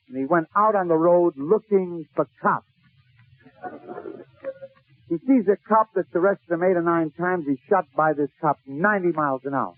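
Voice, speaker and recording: Male comedian, high pitch, theatrical storytelling, punchy short phrases, narrow tinny 1940s radio sound. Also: no room sound. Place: radio broadcast.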